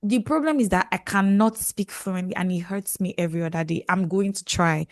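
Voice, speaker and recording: A woman's voice: fairly low pitch, fairly quick, no echo, clean studio sound. A woman from southwest Nigeria with a Nigerian English accent, co-hosting a casual podcast and stacking up phrases as she talks. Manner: animated, self-correcting, stacks up phrases. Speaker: podcast co-host.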